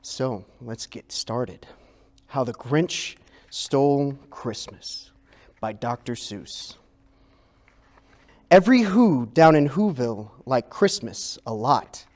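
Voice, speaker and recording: A man reading a children's story aloud in an expressive, dramatic way, stretching words for effect. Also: medium pitch, takes it slowly. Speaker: youth ministry director reading a children's story.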